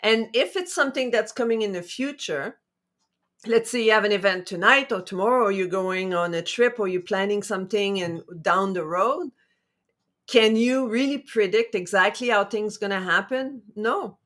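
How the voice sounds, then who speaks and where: A woman's voice: medium pitch, normal speed, fairly bright tone. A middle-aged woman hosting her own talk show, chatty and upbeat, wandering a little as she explains her ideas. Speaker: show host. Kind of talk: casual talk-show intro.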